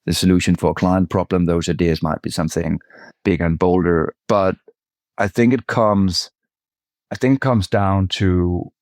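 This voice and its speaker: A man, a guest author on a podcast, talking casually in halting stops and restarts. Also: low voice, a little mellow, no room sound. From Denmark. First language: Danish. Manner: halting, repeats words, swears casually.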